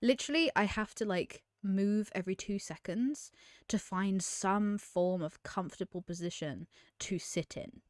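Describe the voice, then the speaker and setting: A woman's voice: medium pitch, a little slow, darkish tone, no echo, clean studio sound. A woman, chatting candidly to camera as a streamer, often trailing off and restarting. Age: 20s. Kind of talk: casual chat to camera.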